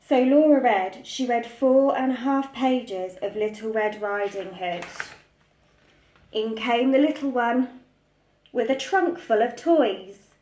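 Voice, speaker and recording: A woman's voice: on the high side, fairly even intonation, takes it slowly, darkish tone. A woman reading a children's story expressively, speaking simply as a preschool storyteller, in an echoey room. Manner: addresses small children simply.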